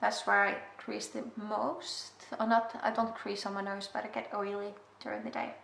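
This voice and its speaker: Icelandic-accented woman with a gravelly edge. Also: mid-range voice, little pitch movement, moderate pace, fairly bright tone. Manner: chatty, self-deprecating, giggly asides. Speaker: makeup vlogger. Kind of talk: casual makeup tutorial. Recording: echoey room with faint hiss.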